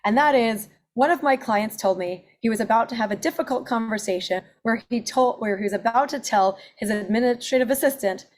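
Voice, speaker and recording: A woman in her forties, an accent and presentation coach, giving an upbeat webinar pitch of her services. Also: fairly quick, few pauses. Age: 40s. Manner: upbeat, self-promoting, listing services.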